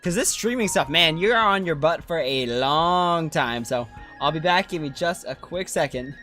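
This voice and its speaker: A man's voice: on the high side, lively intonation, normal speed, bright, forceful tone, faint music behind. A man streaming a video game, giving casual live commentary as he plays.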